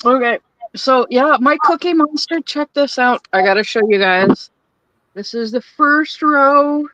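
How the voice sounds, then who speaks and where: A woman's voice: high voice, a little slow, bright, forceful tone, no room sound, highs cut off. A woman hosting a casual live stream while crafting, chatty and giggly, laughing between her rambling phrases. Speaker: livestream host.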